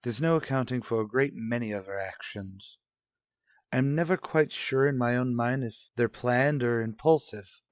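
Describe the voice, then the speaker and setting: An actor playing a stern, guarded husband in a radio soap drama, speaking in a formal, measured, skeptical way. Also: male voice, mid-range voice, dry recording, studio quality, close to an everyday voice. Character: stern, guarded husband and father in troubled marriage. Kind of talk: revived vintage radio soap drama acting.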